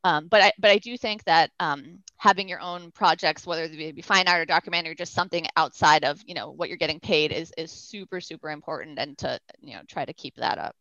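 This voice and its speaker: A woman's voice: deep voice, brisk, hardly any pauses, fairly bright tone, dry recording, lo-fi sound. A woman photographer from the Boston suburbs, chatting casually as an interview guest and rambling with lots of fillers. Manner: rambling, many fillers like "like" and "you know".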